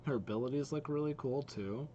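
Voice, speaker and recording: A man hosting a reaction video with casual, impressed commentary, thinking out loud as he watches. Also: mid-range voice, a little fast, lo-fi sound, a little hiss or hum. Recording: game trailer music playing behind. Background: game trailer audio and music.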